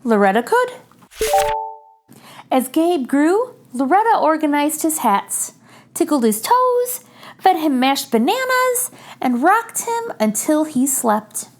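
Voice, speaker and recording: A woman hosting a read-aloud for young children, telling a picture book in an expressive storytelling style with deliberate phrasing. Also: high voice. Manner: addresses young listeners directly, clear deliberate phrasing.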